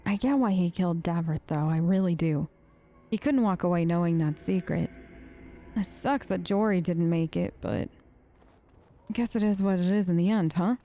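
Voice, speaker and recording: A woman's voice: lowish voice, normal speed, mellow. A young woman hosting a role-play let's play who talks eagerly and hesitantly. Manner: hesitant, enthusiastic.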